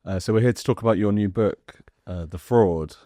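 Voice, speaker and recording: A man interviewing an author, asking long questions in a measured way with some "uh" and "um" fillers. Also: lowish voice, warm tone, no echo, clean studio sound.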